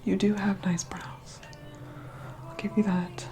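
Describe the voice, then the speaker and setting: A woman's voice: mid-range voice, flat delivery, slow pace, music in the background. Snide whispering vampire woman played by an actress. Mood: snide, grudging. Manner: sarcastic, condescending, bossy, sighing. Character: snobbish, reluctant young female vampire giving a makeover. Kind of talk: whispered ASMR roleplay.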